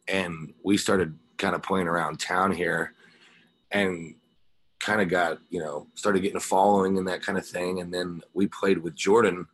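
A man's voice: sits low, fairly bright tone. An American man from the Ozarks in Missouri, a guest telling stories casually in an interview in a rambling, anecdotal way. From small town in the Ozarks, Missouri, USA. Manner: rambling, anecdotal, peppered with "you know". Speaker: guest, rock guitarist and singer.

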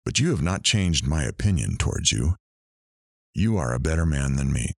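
A man playing a wry, theatrical morning radio talk show host in an audio drama, with a showy deadpan delivery. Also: a bass voice, normal speed, no echo, clean studio sound, heavily acted. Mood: wry, reflective. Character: sardonic male morning radio DJ, theatrical and philosophical.

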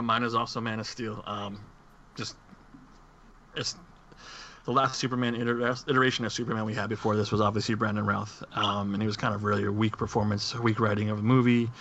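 A man's voice: lowish voice, little pitch movement, brisk, fairly bright tone. A man co-hosting a podcast, casually discussing movies with others. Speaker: podcast co-host. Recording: muffled audio with clear background noise.

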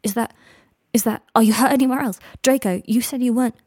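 A woman voicing an anxious, protective young man whose voice comes out rough and halting. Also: mid-range voice, fairly even intonation, darkish tone, dry recording, studio quality. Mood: urgent. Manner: urgent, halting, hoarse.

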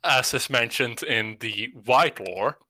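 Male podcast guest, mid pitch, strikingly bright and forward, conversational. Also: slow pace. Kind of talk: casual lore discussion.

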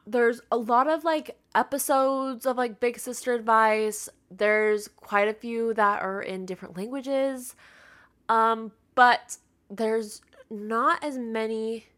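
A woman's voice: a little high, a bit bright. A young woman in her twenties hosting her own podcast in casual chat, sounding congested and nasal from being sick, filling her talk with "like". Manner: chatty, fills with "like". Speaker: podcast host.

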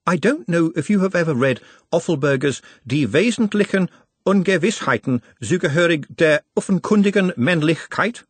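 A man acts out an anxious, bookish male servant from a storybook. He is earnest and painfully polite, choosing formal words with care in a raised, slightly husky voice, recorded dry. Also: fairly high pitch, lively intonation, normal speed, no room sound, voice pushed up. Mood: earnest, learned. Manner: precise, formal, hesitant wording.

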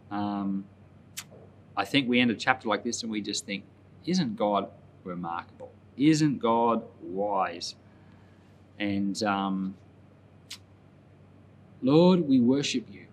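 A man's voice: on the low side, fairly bright tone, slight background noise. A man speaking as a pastor, giving informal, conversational Bible commentary with emphatic repeated phrases. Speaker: pastor and Bible podcast host.

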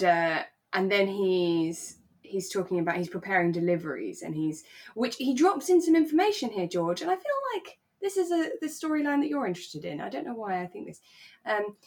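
A woman's voice: mid-range voice, a little mellow. A British woman chatting informally as the co-host of a podcast. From England. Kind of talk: relaxed chat discussing a radio drama.

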